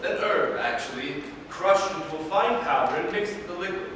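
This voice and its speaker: A man's voice: high voice, expressive, moderate pace, fairly bright tone. A man playing a gloating, bitter, vengeful villain in a staged play reading, with a mocking, theatrical delivery. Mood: smug, mocking. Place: large echoing room, staged reading. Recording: echoey hall from far, faint noise.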